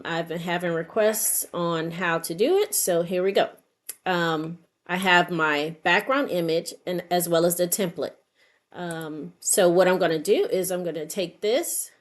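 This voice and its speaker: Slightly low-voiced woman giving a tutorial. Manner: unscripted, thinking aloud while working. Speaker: craft tutorial host. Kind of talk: informal step-by-step screen tutorial narration.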